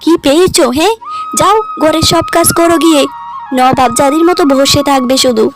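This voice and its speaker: A woman's voice: high voice, a little fast, music in the background, close to an everyday voice. A woman voicing a stern, scolding older mother-in-law in a cartoon drama. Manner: scolding, reproachful. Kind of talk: cartoon dialogue.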